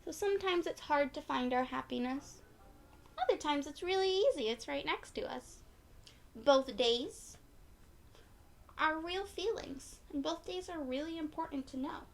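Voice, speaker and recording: A woman reading a picture book aloud to young children in an encouraging, expressive way, often putting questions to her listeners. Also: high-pitched, a little slow. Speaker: children's read-aloud storyteller.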